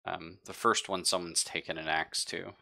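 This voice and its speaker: A man narrating a live tabletop roleplaying game as game master, in a halting, conversational way. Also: sits low, little pitch movement, gravelly, pushed and bright.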